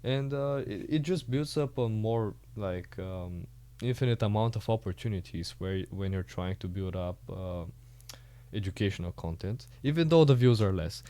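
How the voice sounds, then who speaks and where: A man with a Bulgarian accent hosts a podcast in English, his native tongue being Bulgarian. His voice is low and mellow. He talks casually and hesitantly, stopping often on "uh" as he gathers his thoughts. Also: expressive, moderate pace. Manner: hesitant, many "uh" fillers. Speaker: podcast host.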